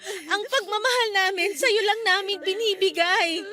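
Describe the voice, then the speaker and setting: A woman's voice: extremely high pitch, a little slow, over music. A woman playing a devoted eldest daughter, a young student, pleading tearfully and firmly in a radio drama. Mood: tearful, tender. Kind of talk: Tagalog radio drama acting.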